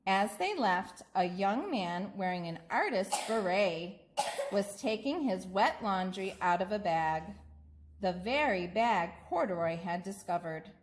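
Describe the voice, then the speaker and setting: Slow, expressive woman reading a bedtime story. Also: mid-range voice, slight background noise. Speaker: school staff member reading aloud. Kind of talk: children's bedtime story narration.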